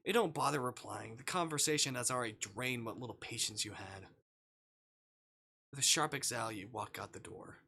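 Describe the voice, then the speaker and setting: A man's voice: mid-range voice, moderate pace, vocal fry, bright, forceful tone, slight background noise. A man live-streaming a visual novel, reading it aloud expressively and breaking into casual, sweary, exclamatory reactions.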